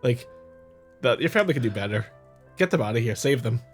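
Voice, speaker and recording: A man's voice: medium pitch, expressive, fairly quick. A man co-hosting a casual game playthrough, chatting in a joking, teasing way. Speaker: gaming channel co-host. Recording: music playing behind.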